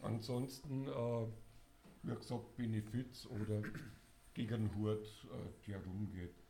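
An older man, hoarse, speaking casually and haltingly to a live audience as a singer-songwriter. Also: on the low side, steady tone, dark tone, slight background noise. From Bavaria, Germany. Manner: halting, many filler sounds. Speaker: singer-songwriter introducing an event.

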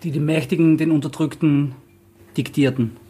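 Man, slightly high, hesitant. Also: steady tone, normal speed, warm tone, husky. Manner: hesitant, many fillers when speaking freely. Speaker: book presenter. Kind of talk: casual introduction and alternating reading aloud.

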